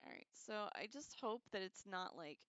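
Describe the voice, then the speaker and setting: A woman's voice: medium pitch, big pitch swings, normal speed, fairly bright tone, dry recording. A woman hosting a casual, rambling livestream discussion with an irreverent, slangy manner, on a slightly dull microphone. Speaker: livestream host.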